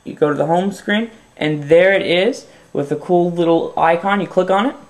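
A young man hosting a casual phone how-to, his voice a little stuffy from a runny nose. He talks in a relaxed, upbeat way with plenty of fillers, his pitch sitting a touch high. The room around him is noticeably echoey. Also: normal speed. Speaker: tech video host.

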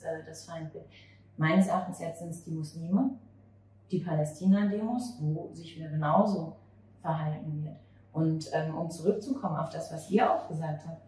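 A woman with a lowish voice conducts a reflective interview. She feels her way haltingly through long thoughts. The recording is very echoey and distant, as at a table in a small venue. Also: darkish tone. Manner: halting, searching for words. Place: small cafe or bar table at night. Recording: very echoey, distant, noisy room ambience.

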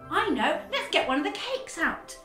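A woman playing an excitable, kindly young girl from a fairy tale, with gasping, exaggerated delivery in interactive children's theatre. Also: very high voice, measured pace, exaggerated voice. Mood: excited, gentle. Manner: breathy gasps, exaggerated expressive delivery. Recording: echoey room with background music.

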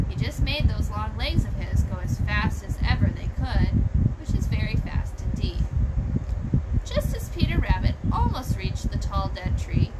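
Expressive woman storyteller, very noisy recording. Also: fairly high pitch. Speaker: children's storyteller. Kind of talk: reading a children's storybook aloud. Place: small room at home, indoors.

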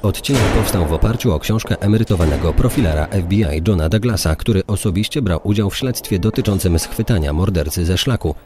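A man's voice: deep voice, fast, hardly any pauses, big-room sound, noisy background. A man reading scripted documentary narration as a secondary narrator.

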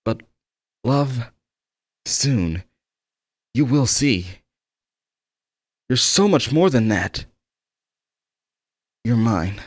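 A man's voice: medium pitch, animated, very slow, long pauses, no room sound, clean studio sound. A man playing an obsessed, possessive young male game developer, tender, pleading and fervent, in an intimate audio roleplay monologue. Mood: coaxing, obsessive.

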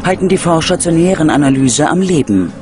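Guy doing true crime doc narration, slightly high voice that moves around a lot, music behind. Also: expressive, a little fast. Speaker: documentary narrator. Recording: music under the voice. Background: background music.

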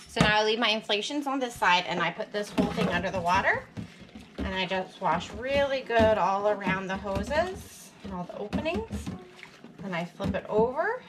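A woman casually explaining her kitchen routine step by step in a plain, practical way with some fillers. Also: mid-range voice. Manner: plain, practical, talks while working with fillers. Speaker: homesteader showing her routine. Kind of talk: casual how-to narration. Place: home kitchen at the sink. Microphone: camera mounted above the sink. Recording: running water noise behind.

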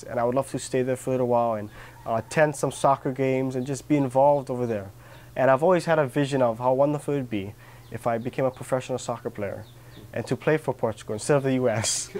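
A young man from Kauai, Hawaii, speaking earnestly and sincerely in an interview, pausing often with fillers and restarts. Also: mid-range voice. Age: young adult. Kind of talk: reflective personal interview. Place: outdoor patio with garden.

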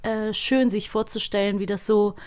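A woman's voice: medium pitch, steady tone, normal speed, darkish tone. Female co-host, medium voice, muses aloud with small hesitations, studio mic. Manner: reflective, searching for words, hesitant fillers. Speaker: podcast co-host. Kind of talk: casual interview chat.

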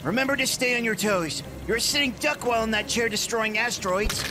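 A man playing an earnest, anxious but friendly crewmate in an animated cartoon. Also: extremely high pitch, a little fast, very noisy. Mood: wary. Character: anxious, friendly little crewmate player, helpful. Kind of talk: animated web cartoon acting.

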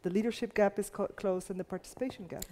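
A woman's voice: medium pitch, fairly even intonation, fast, warm tone. A middle-aged woman speaking earnestly and persuasively on a panel. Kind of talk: panel discussion. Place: large hall with a live audience. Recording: long hall reverb.

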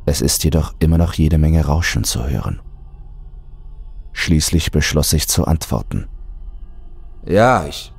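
A man's voice: extremely low pitch, lively intonation, moderate pace, vocal fry, darkish tone, husky, no room sound, soft background music. A man reading a scripted horror story as an audiobook narrator.

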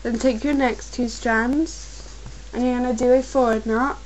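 A woman's voice: a little high, fairly even intonation, noisy background. A young woman who is getting a cold, patiently giving step-by-step craft instructions at a home desk. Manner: patient, repetitive instructions, slow and careful at times. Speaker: crafts tutorial maker.

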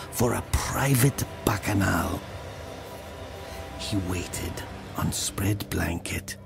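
Slow, gravelly, brooding killer. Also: male voice, mid-range voice, darkish tone. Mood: brooding, ominous. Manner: deliberate, brooding, dropping into whispers. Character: brooding male killer confessing his dark tale. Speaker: narrator of an audio horror story. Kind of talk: dramatic first-person storytelling, theatrical.

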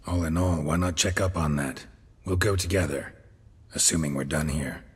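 An actor playing a gruff, practical swordsman in a video game, speaking in terse, dry, clipped phrases. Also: rumbling low, steady tone, moderate pace, heavily acted. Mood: matter-of-fact. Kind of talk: video game dialogue acting.

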